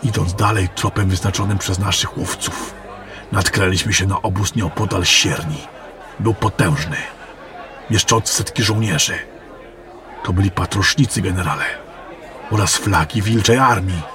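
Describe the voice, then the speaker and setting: A man playing a secretive, scholarly adept of magic in a fantasy audio drama, speaking in a formal, measured, courteous way. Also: deep voice, close to an everyday voice. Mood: earnest, grave. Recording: music and crowd murmur behind.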